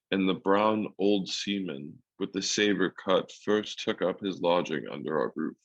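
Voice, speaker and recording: Male storyteller, acted, very low voice, literary and solemn radio drama narration, lo-fi recording. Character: grown man solemnly recalling his boyhood adventure. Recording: dull lo-fi audio.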